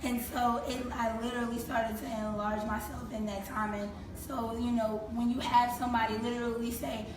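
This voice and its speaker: Woman guest, 30s, slightly hoarse, animated, distant noisy echoey recording. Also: on the high side, moderate pace, hardly any pauses. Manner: animated, acts out quoted speech. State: slightly hoarse, losing her voice. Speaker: guest, creative consultant. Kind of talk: casual interview talk. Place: talk show set on stage, seated in armchairs. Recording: echoey room from far off, noisy.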